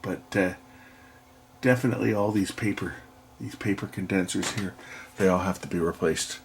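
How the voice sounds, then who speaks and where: A man's voice: low voice, moderate pace, slight background noise. A man giving unscripted, hands-on repair commentary, explaining matter-of-factly and thinking aloud as he works. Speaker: electronics repairer and video host. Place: indoors at a repair workbench.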